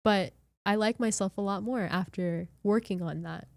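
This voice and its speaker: A woman from Hawaii with a light Hawaiian accent chats her way through podcasting advice in a bubbly, expressive manner. Her voice sits in a middle range and is recorded close and dry, with almost no room sound. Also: medium pitch, moderate pace, creaky, no echo. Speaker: podcast workshop presenter. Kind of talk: casual webinar chat.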